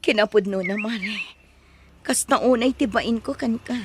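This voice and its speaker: A woman's voice: medium pitch, unhurried, a little hiss or hum, natural voice. A woman with an Ilocano accent playing an anxious, hesitant woman in an emotional audio drama. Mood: grateful, embarrassed.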